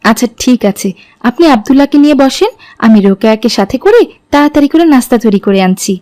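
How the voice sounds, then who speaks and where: A woman playing a devout, gentle mother in an Islamic cartoon, speaking in a measured, instructive way. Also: fairly high pitch, expressive, close to an everyday voice. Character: devout, gentle mother guiding her children.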